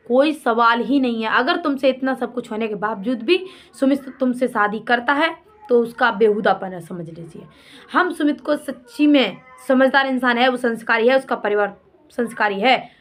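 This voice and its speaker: Scolding woman vlogger with a high voice. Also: fairly bright tone. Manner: scolding, indignant, emphatic with rhetorical questions and repetition. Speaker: vlogger commenting on a personal drama. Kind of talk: unscripted opinionated rant addressed to absent people.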